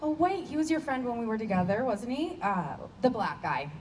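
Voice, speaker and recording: A woman's voice: fairly high pitch, big pitch swings, brisk, a little mellow. A woman in her thirties in a staged play reading, playing a blunt, dismissive, seductive lover with a casual assurance. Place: stage before a painted block wall. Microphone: handheld microphone. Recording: distant stage pickup with ambient noise.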